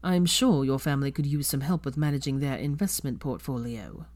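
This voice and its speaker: A woman's voice: a bass voice, a little fast, darkish tone. Woman doing a snarky young wizard. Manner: dry and snarky.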